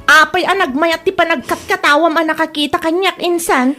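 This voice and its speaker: A woman's voice: very high-pitched, fairly even intonation, fairly quick, bright, forceful tone, soft background music. A woman with an Ilocano Filipino accent playing an exasperated young daughter in a comedy drama, questioning and indignant. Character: exasperated young daughter teased about boyfriend.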